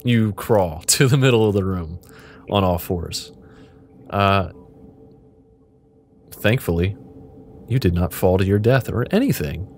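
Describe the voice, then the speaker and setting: A low-pitched man runs a text adventure as game master, speaking to the players in the second person. His delivery is casual, deadpan and playful, recorded with some room noise behind him. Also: unhurried, pauses often. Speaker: game master and host of an interactive fiction podcast.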